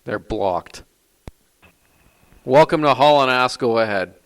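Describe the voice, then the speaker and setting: Brisk, dismissive call-in show host. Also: male voice, mid-range voice, rough recording. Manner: brisk, dry, dismissive of prank callers. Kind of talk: live call-in show hosting.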